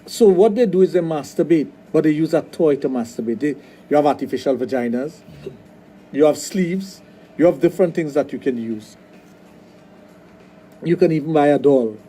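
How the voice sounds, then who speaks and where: A middle-aged man with a Trinidadian accent, a sexologist talking on a radio show, blunt and quick to restart his sentences. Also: on the high side, mellow, a little hiss or hum, low-quality recording. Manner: repeats words, restarts often, blunt. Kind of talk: casual radio talk. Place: radio studio.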